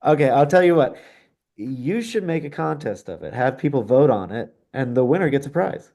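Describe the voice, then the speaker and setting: A man's voice: medium pitch, lively intonation, moderate pace, warm tone. Man playing an eager, helpful employee. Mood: upbeat. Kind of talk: table read acting.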